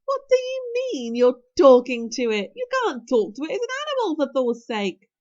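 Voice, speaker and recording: A woman's voice: high voice, wildly swooping pitch, moderate pace, darkish tone, dry recording, dull audio, pitched above the performer's own voice. A woman voicing an anxious, incredulous young Viking boy in a storybook, with swooping emphasis. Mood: astonished. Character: astonished, anxious, bossy Viking boy sidekick.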